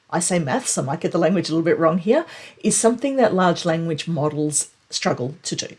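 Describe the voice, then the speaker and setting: A woman with a low, husky voice presents tech news in an explanatory recap. Her delivery is conversational, and she stresses the key points as she walks through them. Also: a little mellow.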